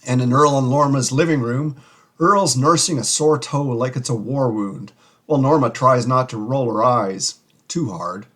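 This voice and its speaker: A man with a husky voice delivering scripted radio-style commentary as a show host. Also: mid-range voice, normal speed, a bit bright.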